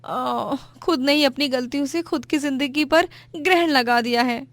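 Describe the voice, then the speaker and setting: A woman's voice: high-pitched, moderate pace, fairly bright tone. A woman voicing an emotional, melodramatic young married woman in a story cartoon. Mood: panicked, regretful. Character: bratty then remorseful young married woman Dimpy. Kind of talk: animated moral-story dramatisation in Hindi.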